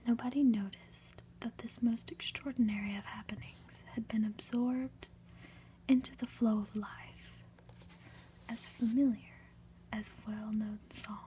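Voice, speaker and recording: A woman whispering softly and breathily in an ASMR style, gentle and unhurried, as a reader and host. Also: on the high side, steady tone, a little hiss or hum, poor audio. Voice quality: breathy, mostly unvoiced whisper. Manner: soft, unhurried, gentle delivery. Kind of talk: whispered ASMR, storybook reading and soft chat.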